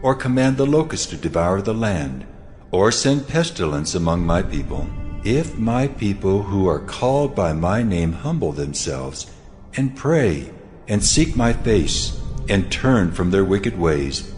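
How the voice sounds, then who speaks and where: A man playing a solemn, majestic God in a dramatized scripture reading, speaking with measured authority. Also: deep voice, big pitch swings, darkish tone. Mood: solemn, promising. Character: God, solemn majestic male deity speaking promise and warning. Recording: background music under the voice.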